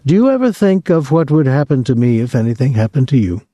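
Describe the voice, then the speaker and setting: A man's voice: mid-range voice, big pitch swings, fast, mellow, no echo, voice pushed up. A man reading aloud, voicing a bitter, possessive mother near fifty who accuses and clings. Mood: fretful.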